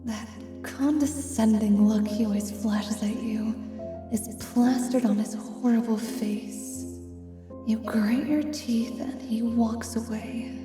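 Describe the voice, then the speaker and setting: A woman narrating a fantasy roleplay in scripted second-person storytelling style. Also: fairly high pitch. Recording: distant, echoey long reverb, music behind. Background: instrumental music.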